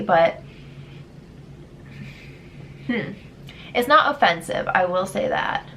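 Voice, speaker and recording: A woman's voice: mid-range voice, fairly bright tone, slight background noise. A young woman with a stuffy, congested voice, chatting candidly through a beauty product try-on and reading product blurbs aloud. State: congested, sick. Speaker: beauty vlogger. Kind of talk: casual product try-on chat.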